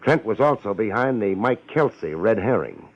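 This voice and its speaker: A cocky, wisecracking hard-boiled detective in a vintage radio play. His voice sits low and moves in lively swings, with sardonic slang. The old recording is dull and muffled. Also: moderate pace. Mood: assured, decisive. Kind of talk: 1950s radio detective drama acting.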